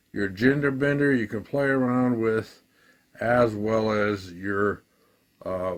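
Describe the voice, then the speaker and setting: A man giving a casual, halting gear demo, thinking aloud as a musician at work. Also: fairly low pitch. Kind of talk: casual product demo talk.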